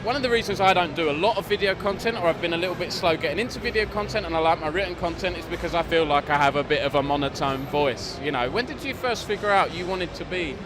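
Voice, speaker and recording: A man with a fairly high voice asks a guest about her work, hesitant and full of fillers and restarts. Clear background noise fills the outdoor festival recording, with passing vehicles. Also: fast talker. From United Kingdom. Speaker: esports news interviewer. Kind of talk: casual interview. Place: gaming festival.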